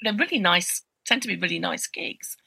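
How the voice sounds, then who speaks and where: A chatty woman comedian and promoter trading gig stories, laughing between lines. Her voice is bright and forward. The sound is dry and close. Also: fairly low pitch, lively intonation, moderate pace, gravelly, breathy edge, dry recording. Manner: chatty, laughs often, self-deprecating anecdotes. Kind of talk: casual chat.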